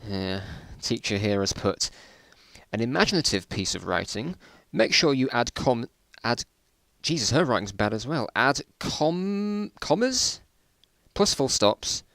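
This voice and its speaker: A man's voice: medium pitch, very animated, measured pace, creaky, fairly bright tone, dry recording. British man, amused and dramatic, dry close sound. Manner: amused, incredulous, breaking off to comment. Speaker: reader of his own old school stories. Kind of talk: informal dramatic reading with mocking asides.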